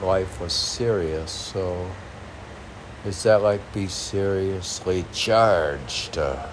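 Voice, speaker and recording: A man reading poetry and commenting on it informally in a halting, musing, self-questioning manner. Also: low voice, a little hiss or hum, low-quality recording. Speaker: poet reading and commenting on own poems.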